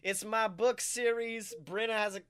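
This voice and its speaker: Male podcast co-host, higher register, forceful bright tone, excitable, casual and jokey storyteller. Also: normal speed. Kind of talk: animated casual podcast chat.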